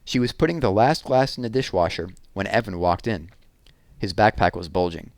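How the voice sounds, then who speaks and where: A man with a slightly low voice narrates a children's book. His reading is expressive, and the recording is dry and close, with very little room sound. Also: normal speed, dry recording. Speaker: read-aloud narrator.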